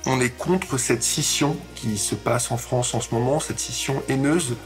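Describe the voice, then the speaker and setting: A man's voice: medium pitch, unhurried, faint music behind. A man in his twenties, giving thoughtful interview answers and stumbling over his words at times. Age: 20s. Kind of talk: documentary interview testimony.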